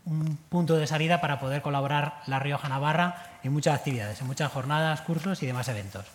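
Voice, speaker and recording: A man reads a formal, prepared welcome speech at the opening of a medical seminar. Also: a little high. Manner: formal, reading prepared remarks. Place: conference hall. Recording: long hall reverb.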